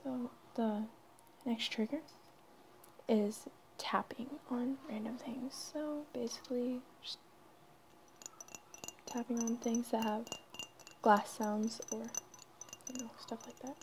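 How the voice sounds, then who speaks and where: A woman narrating ASMR in a breathy whisper, halting and informal, on a noisy home recording. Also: on the high side, steady tone. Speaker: ASMR video creator.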